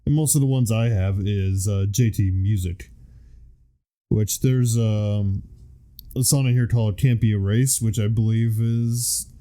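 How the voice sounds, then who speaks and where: A man's voice: lowish voice, steady tone, a little slow, mellow. A man in his thirties, a podcast co-host, chatting casually and rambling with lots of fillers. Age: 30s. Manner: rambling, filler-heavy storytelling. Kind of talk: casual chat.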